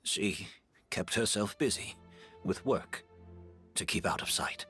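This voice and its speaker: An actor playing a frail, remorseful perfumer in a game, calm and earnest. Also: male voice, fairly low pitch, slow pace, breathy edge, soft background music, exaggerated voice.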